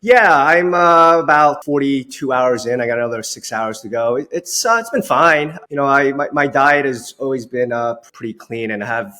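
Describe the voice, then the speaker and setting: A man's voice: mid-range voice, normal speed, few pauses, pushed and bright. Casual middle-aged man telling his story. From Long Island, New York. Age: 40s. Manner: informal, says "you know" very often. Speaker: interview guest, dentist.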